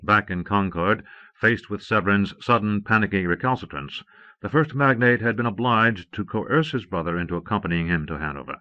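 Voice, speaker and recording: Muffled, dry cassette audiobook recording of a man with a fairly low voice. He reads scripted fiction as a narrator, close to the microphone. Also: expressive, brisk, dry recording.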